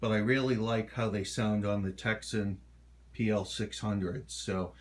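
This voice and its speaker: Slow-talking middle-aged man. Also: fairly low pitch, steady tone. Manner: halting, unhurried, rambling. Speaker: hobbyist showing his radio collection. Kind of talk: casual home video tour. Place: home kitchen.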